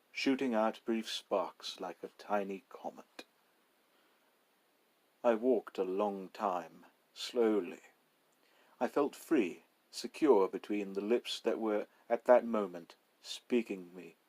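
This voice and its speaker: Slow, low-voiced male story narrator. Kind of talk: literary story narration, measured and dramatic.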